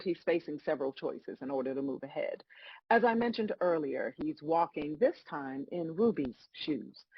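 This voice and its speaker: A woman playing God as a wry, patient, bemused celestial judge, explaining things in a measured way at a table read of a comedy. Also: low voice, breathy edge, dry recording, highs cut off, exaggerated voice.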